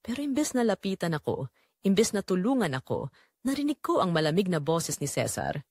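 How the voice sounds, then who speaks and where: A woman's voice: lowish voice, very animated, normal speed, darkish tone, no room sound, clean studio sound. A woman playing a weary, neglected mother who tells her own story in an emotional, pleading audio drama narration. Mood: sorrowful. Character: Marites, weary, neglected, long-suffering Filipino mother.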